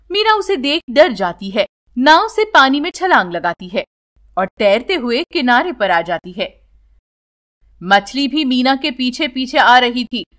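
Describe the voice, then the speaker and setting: Female storyteller for scripted children's tales; high register, very lively intonation.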